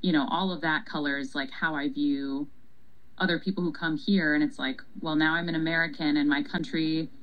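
A woman's voice: low voice, fairly even intonation, moderate pace, highs cut off. A young woman from Paraguay, a city council member, answering interview questions in a thoughtful, conversational way with frequent ums and easy laughs.

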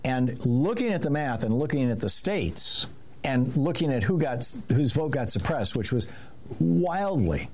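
A man runs a call-in radio talk show in a relaxed, conversational way. His voice sits at an ordinary middle pitch. He steers his callers gently and keeps things moving. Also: measured pace, a little hiss or hum.

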